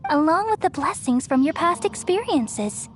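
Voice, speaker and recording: A serene, ancient goddess of wisdom, speaking in a measured, soothing way. Her voice sits a little high and stays gentle, with faint game music underneath. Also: big pitch swings. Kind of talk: video game cutscene dialogue. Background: game score music.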